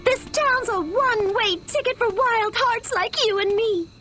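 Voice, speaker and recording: A woman's voice: very high voice, measured pace. A woman with an American accent playing a bored, dreamy 1950s small-town teenage girl in a video game, full of swooning melodrama. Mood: wistful, bored.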